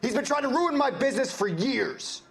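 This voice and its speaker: An actor playing a defensive, bitter, hot-tempered American shopkeeper under police questioning in a video game. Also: male voice, very high voice, lively intonation, moderate pace, vocal fry, fairly bright tone, heavily acted. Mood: bitter outrage. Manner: agitated, indignant. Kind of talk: video game cutscene acting.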